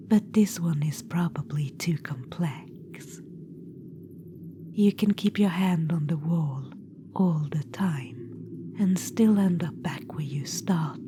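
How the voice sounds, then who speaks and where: A haughty undead witch queen , whispered by a woman, murmurs in a low, unhurried hush, condescending and fond of calling you darling. Her soft whisper sits over a steady background hiss. It is an ASMR roleplay. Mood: bickering. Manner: teasing, condescending, calls listener darling. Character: ancient undead witch queen, vain and imperious.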